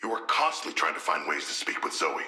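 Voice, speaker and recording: A huge humanoid shark from a video game, with a very low, hard-edged male voice in an echoing space. He is a proud but gentle scholar of a villain, choosing formal words and delivering them deadpan. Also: heavily acted. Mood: earnest, thoughtful. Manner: formal, eloquent, measured, deadpan diction. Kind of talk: video game character voice lines.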